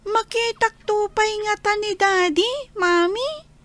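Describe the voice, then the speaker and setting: Woman acting very high-pitched curious young child. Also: slow, a bit bright, no echo, exaggerated voice. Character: young child, curious granddaughter missing an absent father. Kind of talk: Filipino audio drama dialogue.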